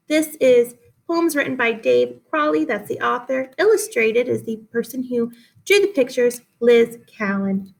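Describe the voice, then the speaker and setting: Higher-pitched woman teaching a read-aloud lesson. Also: fairly bright tone, poor audio. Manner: instructive, addresses listeners with guiding questions. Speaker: teacher reading poems aloud for pupils. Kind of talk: lesson read-aloud for children, explaining and asking questions.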